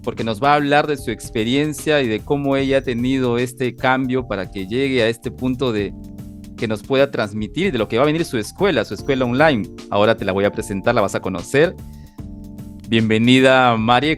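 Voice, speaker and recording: A man's voice: mid-range voice, fairly quick, soft background music. A man hosting a podcast interview, greeting listeners eagerly and sometimes repeating words as he goes. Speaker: podcast host.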